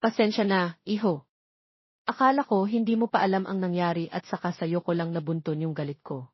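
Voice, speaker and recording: Woman acting a quick-tempered older mother, Filipino accent, blunt, protective, audio drama, slightly muffled. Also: fairly low pitch, steady tone, husky, no echo. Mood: apologetic. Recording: slightly dull, lo-fi recording.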